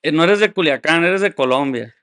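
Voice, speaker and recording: Chatty podcast host, slightly high voice. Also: male voice, expressive, fairly quick, fairly bright tone, smooth, no echo, clean studio sound. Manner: friendly, laughs often, prompts with questions. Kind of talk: casual interview chat.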